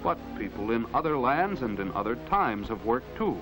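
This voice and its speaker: A man's voice: mid-range voice, sing-song, moderate pace, poor audio. An American man delivering earnest, rhetorical narration in the proclaiming style of a 1950s educational film. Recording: vintage 1950s film soundtrack with loud music. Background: background music.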